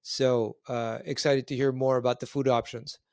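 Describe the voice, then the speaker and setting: A man's voice: medium pitch, level tone, normal speed, a little mellow, dry recording, clean studio sound. A man hosting a podcast and interviewing in a conversational style. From Canada.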